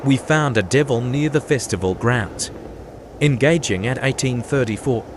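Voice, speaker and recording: A man's voice: medium pitch, normal speed, lightly acted. A man voicing a serious, dutiful devil hunter subordinate in a manga fan dub. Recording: noisy track with street ambience.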